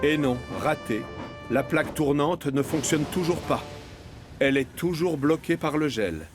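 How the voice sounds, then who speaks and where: A man's voice: fairly high pitch, animated, measured pace. A man reading scripted storytelling narration for a children's cartoon. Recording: music behind narration.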